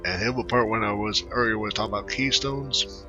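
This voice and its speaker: A man's voice: fairly low pitch, a little fast, dull audio, over music. A man giving casual, rambling commentary as he plays a video game, often repeating himself.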